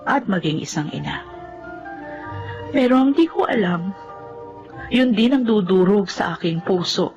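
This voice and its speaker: An actress playing a doting young mother in a Filipino radio drama, sentimental and expressive. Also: lowish voice, highs cut off. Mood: wistful narration.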